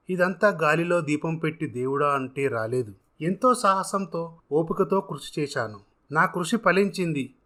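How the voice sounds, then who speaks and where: A man's voice: on the high side, a bit bright, close to an everyday voice. A man voicing an earnest, generous self-made contractor who speaks formally and encouragingly in a dramatised story.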